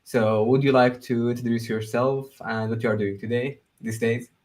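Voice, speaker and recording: A male live stream host opens the show and introduces his guest. He is welcoming but a little halting, pausing on fillers as he talks. His pitch sits in a middle range. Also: steady tone, darkish tone.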